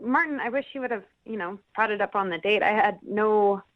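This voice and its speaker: A woman's voice: medium pitch, a little fast. Hesitant woman on a muffled phone call. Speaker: radio show guest on a dating segment. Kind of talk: casual phone chat.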